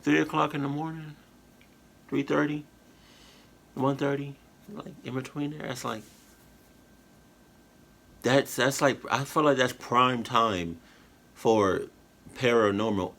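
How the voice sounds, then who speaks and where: A man's voice: mid-range voice, fairly even intonation, slow pace, vocal fry, pauses often, fairly bright tone. A tired man chatting casually to his viewers in a reaction video, rambling with slang, fillers and restarts. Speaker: YouTube reactor. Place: at home, indoors.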